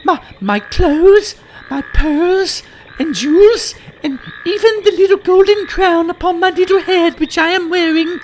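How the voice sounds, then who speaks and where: A man voicing a spoiled young princess in a shrill falsetto, in a fairy-tale reading. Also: measured pace, a little hiss or hum, rough recording. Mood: eager.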